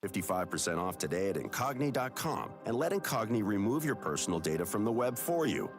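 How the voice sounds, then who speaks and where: A man's voice: lowish voice, sing-song, brisk. A man reading a scripted advertisement as an announcer. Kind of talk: scripted ad read. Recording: music under the voice.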